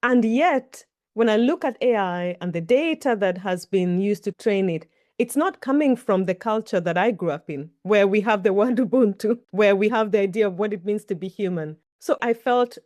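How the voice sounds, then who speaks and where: A woman's voice: mid-range voice, expressive, normal speed, few pauses, a little mellow, no room sound, studio quality. A middle-aged Kenyan woman, a native Kikuyu speaker, answering interview questions in a thoughtful, storytelling way. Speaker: guest. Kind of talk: reflective interview talk.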